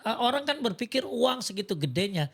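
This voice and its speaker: A man's voice: very high voice, big pitch swings, brisk, creaky. A man with a Jakarta Indonesian accent giving religious advice and telling stories in casual talk, exhorting with rhetorical questions.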